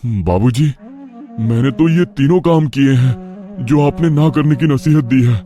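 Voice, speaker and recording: An earnest young merchant from a village, acted by a man for an animated moral tale. His voice sits at a middle pitch and sounds sincere and respectful. Faint music runs behind it. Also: measured pace, lightly acted. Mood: sincere, pensive. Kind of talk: Hindi animated moral story dialogue.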